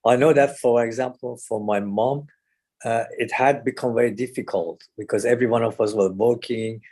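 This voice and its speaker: A man from Iran speaking haltingly in a group discussion, searching for his words. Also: mid-range voice, steady tone, darkish tone. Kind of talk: discussion comments in an online meeting.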